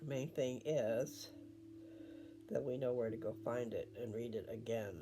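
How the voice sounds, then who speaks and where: Older man, low creaky voice. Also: music in the background. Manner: unhurried, wandering, thinking aloud. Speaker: host reading scripture. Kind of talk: rambling casual chat and scripture reading. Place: at home.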